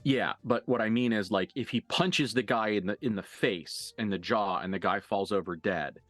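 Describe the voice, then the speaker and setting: Low-voiced man as game master, dry close sound. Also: a bit bright, no room sound, soft background music. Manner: halting, casual, sweary. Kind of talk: improvised tabletop game narration and in-character dialogue.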